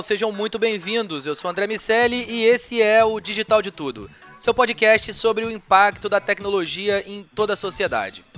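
A man hosting a podcast, speaking with lively energy and enthusiasm. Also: high-pitched. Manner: exuberant greeting, lively and loud. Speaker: podcast host.

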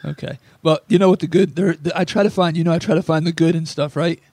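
A hoarse man hosts a casual sports podcast, his voice rough and gravelly from strain. He rambles along in a self-deprecating way. The sound is close and dry, with no room around it. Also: on the high side, steady tone, quick pace, a little mellow, no room sound. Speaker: podcast host.

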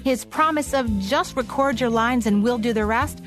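A woman's voice: on the high side, normal speed, over music. A woman with an American accent reading scripted narration as a podcast co-host. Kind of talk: scripted narration about old-time radio history.

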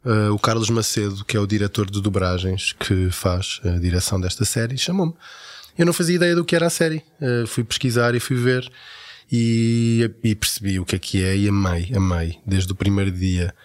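A man's voice: on the low side, fairly even intonation, normal speed, no echo, clean studio sound. A man with a European Portuguese accent talking casually and enthusiastically in an interview as a voice actor.

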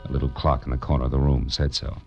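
An American actor playing a tough, sardonic private detective in a vintage noir radio drama, with clipped, punchy delivery. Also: male voice, extremely low pitch, little pitch movement, fast talker, gravelly, slightly rough, no room sound, exaggerated voice. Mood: grim, wry narration. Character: hard-boiled wisecracking male private detective narrating his case. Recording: dull vintage radio recording with music.

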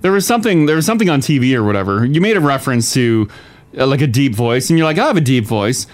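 A young adult man co-hosting a morning radio show, teasing his co-hosts and dropping in lots of quick agreements. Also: mid-range voice, expressive. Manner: teasing, frequent agreeing interjections. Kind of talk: casual morning show banter.

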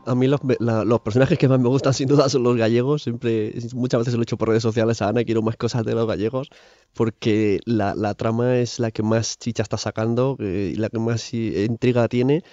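A man with a Spain accent talks casually on a panel. His voice is dark and mid-pitched, in a slightly muffled recording. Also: fairly even intonation, fairly quick, no room sound. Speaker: podcast panelist. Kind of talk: casual discussion.

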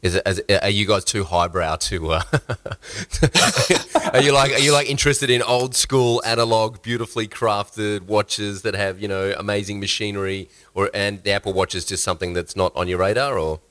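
Australian male interviewer, very low voice, informal, halting delivery with rephrased questions. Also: steady tone, fairly bright tone. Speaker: interviewer, host. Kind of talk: casual conversational interview.

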